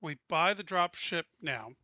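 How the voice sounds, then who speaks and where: A man playing a tabletop game, organizing the group's plans with halting restarts. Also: on the high side, animated, sharp tone, dry recording, dull audio. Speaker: player. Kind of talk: casual tabletop game chat.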